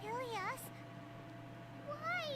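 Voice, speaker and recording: Squeaky, extremely high little fairy. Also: female voice, expressive, drawn-out words, sharp tone, heavily acted. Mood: alarmed. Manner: squeaky, emphatic, childlike. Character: tiny high-pitched fairy companion, earnest and pleading. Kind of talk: video game voice acting.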